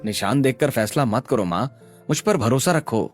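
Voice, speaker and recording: A man's voice: mid-range voice, lively intonation, moderate pace, faint music behind, pitched below the performer's own voice, lightly acted. A man voicing a devoted young tea-seller in love, sincere and reassuring, in cartoon story dialogue. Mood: pleading.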